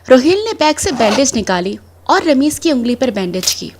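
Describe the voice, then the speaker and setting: Lively high-pitched woman narrating a story. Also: moderate pace, slight background noise.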